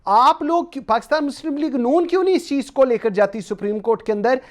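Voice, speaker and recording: A man's voice: extremely high pitch, lively intonation, fast. A man with a Pakistani accent hosting a political talk show, putting long, probing questions and cutting in politely. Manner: wordy, probing, interjects politely.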